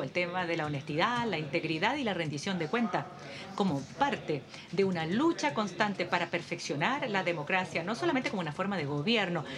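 Low-voiced woman interpreting over a murmuring crowd. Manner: steady, measured, occasional hesitations. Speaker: simultaneous interpreter. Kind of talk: simultaneous interpretation of a formal speech. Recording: audience murmur beneath the voice.

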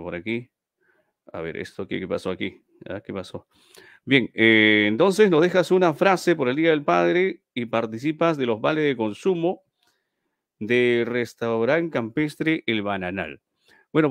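Gravelly middle-aged man hosting live. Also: mid-range voice, no room sound. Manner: chatty, reads viewer names aloud, repeats phrases. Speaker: live stream host. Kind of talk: live stream giveaway chat. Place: small indoor room.

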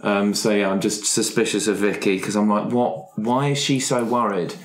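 A man's voice: lowish voice, fairly even intonation, normal speed. A man playing a tabletop roleplay game, chatting casually and hesitantly about his character. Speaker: roleplay player. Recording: roomy, echoey sound.

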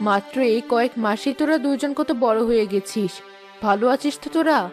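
A woman playing a gentle, protective mother spirit in a cartoon, soothing and maternal. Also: a little high, a little fast, soft background music, close to an everyday voice. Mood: tender. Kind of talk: Bengali cartoon voice acting.

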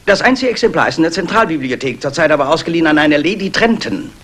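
An actor playing an eager detective's assistant, reporting briskly in a 1960s German radio drama. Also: male voice, on the high side, animated, a bit bright. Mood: eager, concerned. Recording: faintly noisy old radio recording.

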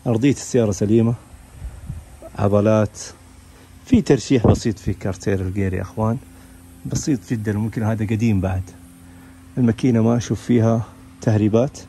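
A man's voice: on the low side, fast talker, pauses often, dark tone. A man you would hear at an indoor car auction, rattling through a vehicle walk-around over faint ambient noise. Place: large indoor car showroom and workshop.

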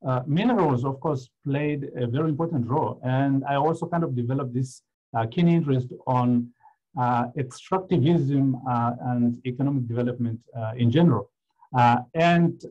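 Male academic from Zimbabwe, halting delivery, muffled video-call sound. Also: medium pitch. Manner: hesitant, many "uh" fillers. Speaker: guest speaker, economic historian. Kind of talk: academic online presentation. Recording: dull lo-fi video call audio.

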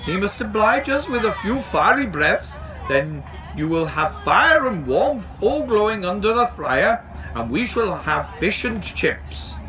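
A man's voice: high-pitched, very animated, measured pace, a crowd in the background, pitched above the performer's own voice, full character voice, poor audio. A man voicing a soothing, wordy, formal choirmaster in a children's storybook.

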